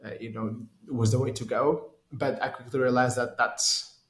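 Man with a flat, hesitant delivery. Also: mid-range voice, moderate pace, roomy sound. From Portugal. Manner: hesitant, many restarts and fillers. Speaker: race engineer guest. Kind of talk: conversational interview.

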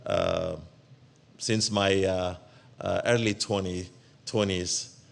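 A man from Ethiopia, preaching as a guest in church, halting and full of fillers and restarts. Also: deep voice, steady tone, gravelly. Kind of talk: church sermon.

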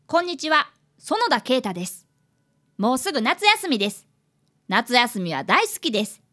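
A woman's voice: a little high, very animated, moderate pace, sharp tone, heavily acted. A woman voicing an earnest, polite fifth-grade boy training as a detective in a radio drama. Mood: cheerful. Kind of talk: community radio drama acting and narration.

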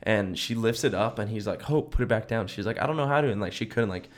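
A man's voice: on the low side, fast talker. A young man co-hosting a podcast, chatting casually in slang and acting out what people said as he tells stories. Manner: animated slangy storyteller, acts out quotes. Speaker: podcast co-host. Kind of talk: casual chat, storytelling.

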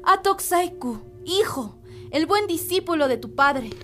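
A woman's voice: very high voice, expressive, measured pace, music in the background. A woman playing a steadfast village mother, a dancer's wife, solemn and poetic, in a radio drama. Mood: solemn, yearning.

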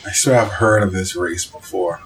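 A man's voice: low voice, moderate pace, low-quality recording. A man chatting casually as a podcast co-host, talking in a reactive way with short interjections. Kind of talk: casual anime review chat.

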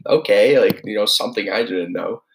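A young man with a low, deep voice tells a personal story on his own podcast. He rambles along in a casual chat style and leans on filler words constantly. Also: fairly quick, gravelly, low-quality recording. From New England. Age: young adult. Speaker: podcast host.

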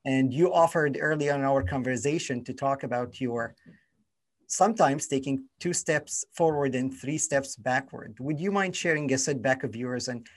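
A man hosting an interview podcast. His voice is mid-pitched and his speech polite and formal. He mostly gives brief affirmations and sets up questions courteously. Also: moderate pace. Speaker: podcast host.

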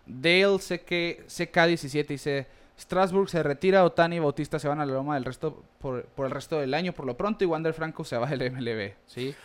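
A man co-hosting a casual baseball podcast, reading listener comments with quick asides. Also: on the high side, clean tone. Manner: chatty, reads comments aloud with quick asides and laughs.

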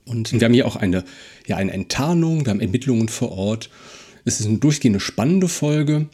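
A man co-hosting a podcast in casual discussion, speaking in a halting, reflective way and repeating words. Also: mid-range voice, lively intonation, warm tone, breathy edge. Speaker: podcast co-host.

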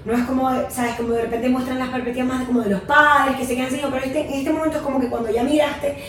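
A woman's voice: high voice, steady tone, fast, a little hiss or hum. Teasing young woman, echoey and distant. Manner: teasing, playful. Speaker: podcast co-host. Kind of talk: casual podcast chat. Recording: echoey room, far from mic.

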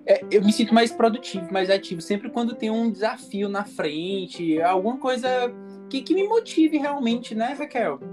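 A woman's voice: a little high, animated, moderate pace, over music. A woman hosting a casual podcast interview, upbeat and exclamatory as she asks her guest friendly questions. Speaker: podcast host.

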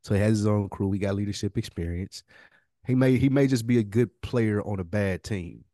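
A man's voice: on the low side, fairly quick, warm tone, no room sound, studio quality. A man co-hosting a podcast, bantering in an informal, slangy way as he thinks aloud. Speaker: podcast co-host. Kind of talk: casual banter about a TV series fantasy draft.

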